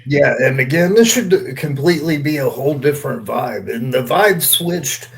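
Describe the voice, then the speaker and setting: A man with a medium-pitched voice chats on a podcast panel. His delivery is laid-back and anecdotal, like casual talk among regulars. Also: moderate pace, gravelly. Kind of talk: casual chat.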